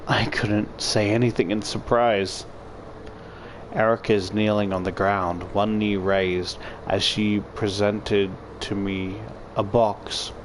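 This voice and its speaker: Low-voiced man reading aloud, noisy recording. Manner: joking, swears. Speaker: video creator reading a game aloud. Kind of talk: visual novel read-aloud with joking commentary.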